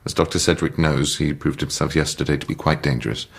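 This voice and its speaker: A troubled, secretive man of medicine in a radio play. His voice is extremely low and gravelly yet smooth, his delivery curt and halting. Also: fairly even intonation, heavily acted. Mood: tense, insistent. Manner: clipped, halting, stammering under strain. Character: brusque, troubled, secretive male psychiatrist, easily rattled. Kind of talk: radio drama acting.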